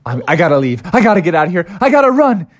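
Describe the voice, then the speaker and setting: Brief: woman putting on a jittery kid frog, very high and squeaky, slow and whiny, storybook voice. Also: lively intonation. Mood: nervous. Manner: whiny, pleading. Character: anxious young boy frog, sheepish and timid.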